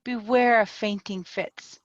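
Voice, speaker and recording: A woman's voice: medium pitch, fairly even intonation, takes it slowly, dry recording, lightly acted. A woman reading the part of a dying young woman giving a melodramatic, solemn deathbed warning over a video call. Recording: dull lo-fi video call audio.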